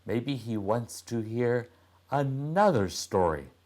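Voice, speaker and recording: A man's voice: medium pitch, lively intonation, slow, darkish tone. A man narrating a children's story as a read-aloud, with wondering asides.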